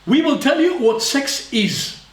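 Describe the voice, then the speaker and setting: This is a man lecturing a live audience in short, emphatic bursts. His voice sits quite high for a man. The sound comes from some distance in a roomy, echoing hall. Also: expressive, unhurried. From Israel. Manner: emphatic, speaks in short phrases, acts out voices. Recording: echoey hall, voice from far off.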